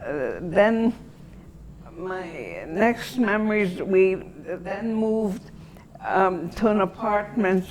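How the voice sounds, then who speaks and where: Very slow-talking elderly woman, halting. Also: mid-range voice, expressive, a little mellow, poor audio. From Antwerp, Belgium. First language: German. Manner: halting, searching for words with long pauses. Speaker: interviewee. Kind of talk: reminiscing in a personal interview.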